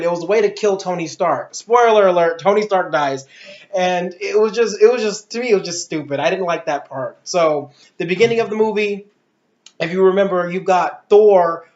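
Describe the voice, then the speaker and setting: An adult man giving a casual, opinionated movie review in a blunt, exasperated, slangy way. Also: sits high. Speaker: video reviewer and host. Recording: echoey room, dull lo-fi audio.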